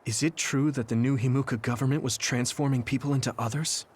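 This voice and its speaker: A man's voice: medium pitch, fairly even intonation, fast, a little mellow, husky, natural voice. A man playing an earnest, straightforward young recruit in a video game. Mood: serious. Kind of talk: English video game voice acting.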